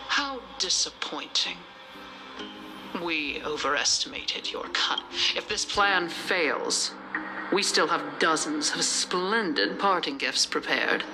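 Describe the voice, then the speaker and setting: An actor voicing a mocking, sadistic villain in a video game, with theatrical, drawn-out taunts over music. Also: medium pitch, lively intonation, low-quality recording. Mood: mocking, menacing. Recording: music under the voice. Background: game soundtrack music.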